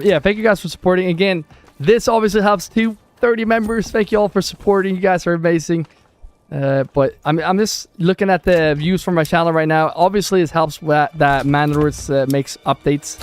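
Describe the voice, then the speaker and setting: Chatty Swedish man streaming a game. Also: a little high. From southern Sweden. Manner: chatty, thanks viewers and reads chat aloud. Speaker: gaming livestreamer. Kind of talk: casual live-stream chat over gameplay.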